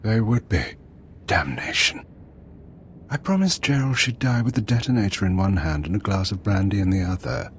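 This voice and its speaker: Very deep-voiced, fatalistic rebel leader. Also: male voice, lively intonation, a little hiss or hum. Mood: weary resolve. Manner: theatrical, deliberate, philosophical speechmaking. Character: male terrorist revolutionary leader, grandiose, fatalistic, bitter. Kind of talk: video game voice acting.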